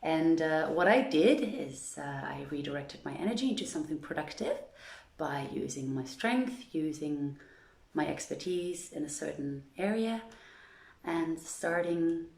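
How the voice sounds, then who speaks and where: A woman's voice: low voice, lively intonation, unhurried, vocal fry, a little mellow, roomy sound. A woman hosting an online story reading, speaking to listeners and reading literary tales aloud. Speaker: reader of stories to an online audience.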